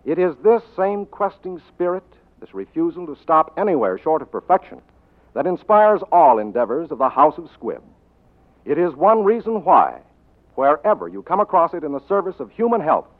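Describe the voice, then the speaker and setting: A man's voice: on the high side, sing-song, normal speed. An American man as a 1940s radio announcer, reading scripted copy in a polished, earnest, formal way. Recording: tinny 1940s radio recording. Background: music.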